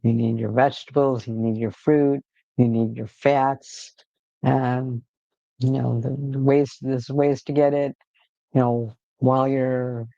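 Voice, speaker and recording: A man hosting a casual livestream, rambling in a relaxed way with frequent fillers and restarts, talking from home. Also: medium pitch, no room sound, lo-fi sound. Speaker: livestream host.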